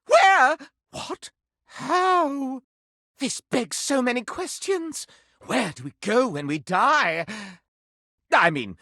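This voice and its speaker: Wildly swooping, flamboyant gossipy candelabra. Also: male voice, high voice, sharp tone, no room sound, studio quality, exaggerated voice. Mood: shocked. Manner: grandiose, florid, melodramatic, relishing every word. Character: flamboyant, gossip-hungry living candelabra with a theatrical, scandal-loving temper. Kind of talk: theatrical video game character acting.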